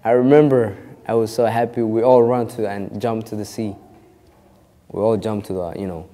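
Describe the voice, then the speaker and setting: A man's voice: fairly low pitch, fairly even intonation, normal speed, warm tone, in a large hall. A young man born in Kenya of a Somali family, telling his story in an interview with lots of restarts and "you know". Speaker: actor interviewed.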